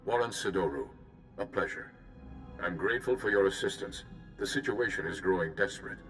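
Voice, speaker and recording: Low-voiced, measured Jedi mission leader. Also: male voice, fairly bright tone, soft background music. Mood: courteous, grave. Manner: measured, formal, courteous. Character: calm, principled male Jedi mission leader.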